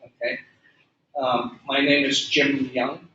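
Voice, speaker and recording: Middle-aged man, halting filler-heavy lecture, echoey room, faint background noise. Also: mid-range voice, little pitch movement, sharp tone, muffled recording. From Hong Kong. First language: Cantonese. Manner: hesitant, many fillers and restarts. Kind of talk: classroom lecture.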